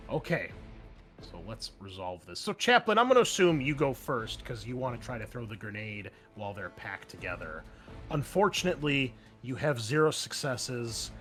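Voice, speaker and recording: A man running a tabletop roleplaying game as game master, explaining rules in a halting way and thinking aloud. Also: lowish voice. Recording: loud background music. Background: music.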